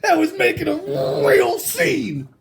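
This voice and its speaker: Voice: extremely high pitch, huge pitch swings, measured pace, vocal fry, a crowd in the background, pitched above the performer's own voice, full character voice. A reader voicing a sly, aggrieved male wolf who tells his side of a storybook tale in a chatty, mock-innocent, self-justifying way. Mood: indignant.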